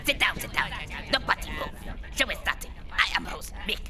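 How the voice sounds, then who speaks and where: A woman's voice: on the low side, animated, a little fast. A woman playing a blunt creature talk show host with claws, speaking clipped broken English in a comedy audio drama, with music behind. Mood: commanding.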